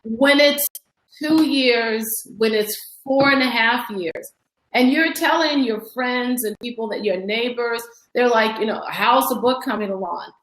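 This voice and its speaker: A woman's voice: fairly high pitch, unhurried, fairly bright tone. A woman in her fifties, an author chatting in an interview, talking in long rambling runs with restarts. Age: 50s. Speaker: author guest. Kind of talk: casual interview conversation. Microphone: online video call. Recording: echoey online call audio.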